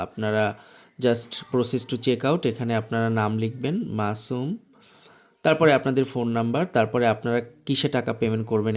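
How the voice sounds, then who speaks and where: A man with a Bangladeshi accent presenting an informal software tutorial, explaining things step by step. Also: medium pitch. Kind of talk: informal screen tutorial narration.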